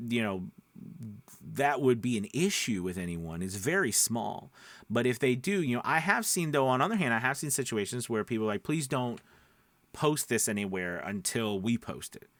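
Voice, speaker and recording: A man's voice: mid-range voice, fairly bright tone, no echo. A man who coaches voice-over talking casually and emphatically to his viewers, often checking that they follow him. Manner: conversational, emphatic, often checking understanding with rhetorical questions. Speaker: voice-over coach and channel host. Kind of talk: casual advice talk to camera.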